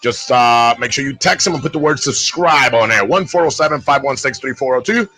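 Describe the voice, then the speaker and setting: Bright-voiced man hosting a casual live show. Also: mid-range voice, lively intonation, a little fast. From Puerto Rico. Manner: informal, addresses listeners as brothers. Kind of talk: casual live-stream chat.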